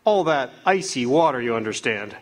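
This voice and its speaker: An actor playing a kindly, authoritative chief of angels who briefs his helper calmly and fatherly. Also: medium pitch, normal speed, natural voice. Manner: calm, fatherly, instructive. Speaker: radio theatre actor. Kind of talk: live amateur radio drama acting.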